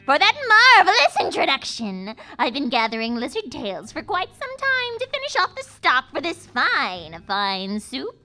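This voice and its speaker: A woman's voice: high-pitched, wildly swooping pitch, moderate pace, few pauses, sharp tone, full character voice. A woman playing a scheming, crude female witch in a live comedy radio play, with a mocking, theatrical drawl.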